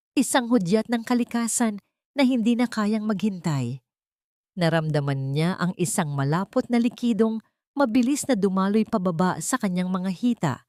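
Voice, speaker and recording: A woman's voice: mid-range voice, darkish tone, dry recording, clean studio sound. A woman narrating a scripted dramatic audio story in a solemn, literary storytelling manner.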